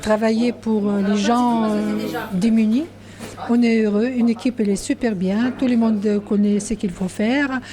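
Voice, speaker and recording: A woman from Ukraine talks about her volunteer work with feeling, pausing often on fillers. Her voice sits a little high. Crowd chatter fills the room behind her. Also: fairly even intonation. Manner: warm, heartfelt, many fillers. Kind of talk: interview answers.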